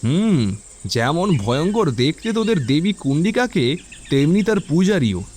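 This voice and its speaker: Man playing a high, animated young college student. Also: measured pace, a little hiss or hum. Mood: mocking, skeptical. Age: young adult. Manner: questioning, inquisitive. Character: curious, skeptical young male college student. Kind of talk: audio drama acting.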